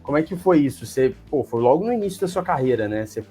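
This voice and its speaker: Male interviewer, Brazilian accent, slightly raspy, informal and conversational, soft music behind. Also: fairly high pitch, very animated, fast. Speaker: interviewer and host. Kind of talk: casual sports interview.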